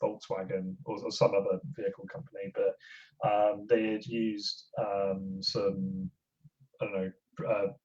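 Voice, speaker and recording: Very low, halting podcast voice, muffled. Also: flat delivery, darkish tone. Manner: halting, searching for words. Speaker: podcast co-host or guest. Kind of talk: casual podcast chat. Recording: muffled lo-fi audio.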